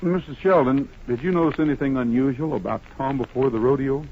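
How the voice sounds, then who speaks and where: An actor playing a calm, honest cowboy hero in an old radio Western, polite, plain-spoken and earnest. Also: male voice, mid-range voice, brisk, a little hiss or hum, close to an everyday voice. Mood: gently inquiring. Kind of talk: 1950s radio Western acting. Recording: muffled old-time radio recording.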